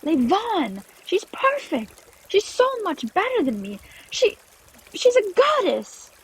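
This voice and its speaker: A woman playing a bashful, insecure, emotional young adventurer in a fantasy audio drama, speaking in a halting way. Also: mid-range voice, expressive, slightly rough, exaggerated voice, poor audio. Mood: distraught.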